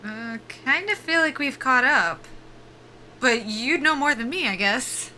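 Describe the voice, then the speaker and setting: A woman's voice: high-pitched, animated, unhurried, pushed and bright, a little hiss or hum, heavily acted. A woman playing a guarded, desperate soldier at a job interview in an audio drama, speaking with dry, understated skepticism. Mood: wry, skeptical. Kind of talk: naturalistic audio drama dialogue.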